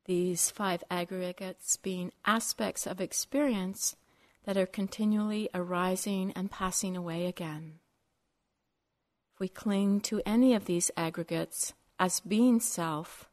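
A woman teaching a guided meditation in measured, short phrases separated by long pauses. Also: fairly low pitch.

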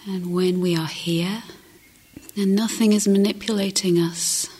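A woman meditation teacher with a breathy, husky voice often near a whisper, gently guiding a meditation with long pauses. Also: medium pitch, steady tone. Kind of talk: guided meditation.

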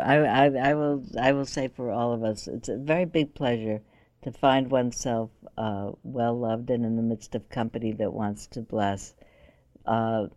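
A woman in her sixties, a meditation teacher, chatting informally and laughing with her group. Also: very deep voice. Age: 60s. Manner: warm, chatty, laughing often. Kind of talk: informal talk to a retreat group.

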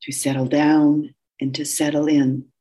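A woman meditation teacher giving guided instructions in a gentle, unhurried way with long pauses and a husky, breathy voice. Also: low voice, level tone.

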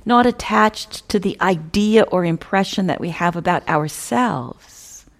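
A woman meditation teacher speaks very slowly in a fairly low voice with a gravelly fry. She leaves long pauses between short, contemplative phrases, often letting the endings fade softly toward a near-whisper. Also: expressive. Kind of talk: guided meditation instruction, spiritual teaching.

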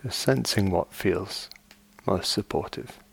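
A man guiding a meditation as a teacher, speaking gently and in measured short phrases with long pauses. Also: sits low, vocal fry, slightly rough. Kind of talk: guided meditation. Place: meditation retreat hall.

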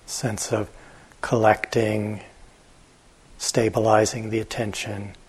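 A man teaching meditation, giving guided instructions gently and unhurriedly, with long pauses and a husky voice. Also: fairly low pitch, flat delivery, poor audio.

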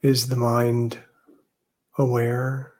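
A man guides a meditation in a medium-pitched voice with very little rise and fall. He speaks gently and very slowly, leaving long pauses between short phrases as a teacher would. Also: monotone.

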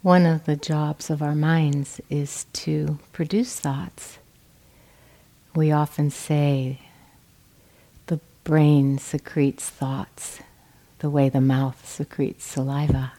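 A woman teaching meditation, giving gentle, measured guidance with pauses between phrases. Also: low-pitched, little pitch movement. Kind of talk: guided meditation instruction.

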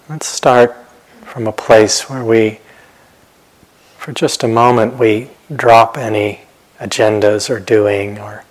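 A man giving guided meditation instructions as a teacher, speaking gently and unhurriedly with pauses and phrases that trail off softly. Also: fairly low pitch, breathy edge. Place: meditation retreat center.

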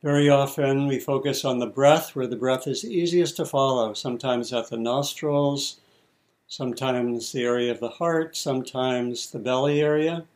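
A man teaching meditation, giving guided instructions in short, measured phrases with pauses between them. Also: mid-range voice, fairly even intonation, darkish tone.

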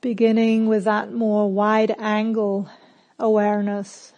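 A woman teaching guided meditation in a calm, gentle manner, with spacious phrasing and long pauses between instructions. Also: medium pitch, lo-fi sound.

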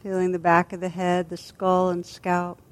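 Woman softly guiding a meditation. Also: fairly low pitch, completely flat delivery. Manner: gentle, soothing, unhurried with long pauses. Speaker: meditation teacher. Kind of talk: guided body scan meditation.

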